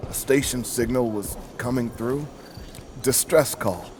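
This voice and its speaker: A man's voice: mid-range voice, close to an everyday voice. A man playing a shaken, injured colonist. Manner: halting, rattled telling. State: hurt and shaken. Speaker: injured comms tower worker. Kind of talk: video game voice acting. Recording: game mix with noisy ambience.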